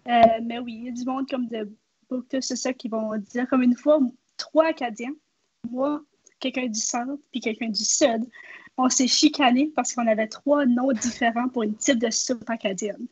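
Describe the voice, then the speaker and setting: Husky young woman, Québécois-like French accent. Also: fairly high pitch, fairly even intonation, normal speed, darkish tone, no echo, lo-fi sound. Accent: Québécois-like New Brunswick French. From northwest New Brunswick, Canada. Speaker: guest. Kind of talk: casual conversational chat.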